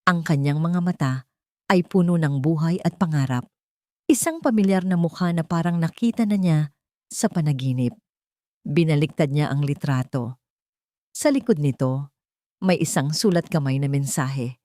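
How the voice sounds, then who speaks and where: A woman's voice: low voice, moderate pace, long pauses, a little mellow, no echo, clean studio sound. A woman narrating a dramatic scripted story for an audio drama.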